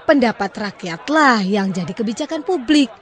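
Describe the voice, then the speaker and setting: A woman's voice: a little high, expressive, moderate pace, some chatter behind, natural voice. A woman playing an earnest, critical citizen at a café forum in a radio drama, speaking in pointed declarations.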